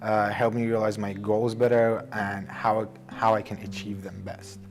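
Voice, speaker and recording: A man's voice: lowish voice, little pitch movement, gravelly, music in the background. A young man from Iran with a Persian accent, speaking earnestly and thoughtfully about his own life in a documentary interview, sometimes restarting his sentences. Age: young adult. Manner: earnest, measured, with self-corrections. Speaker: documentary subject. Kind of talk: reflective personal interview.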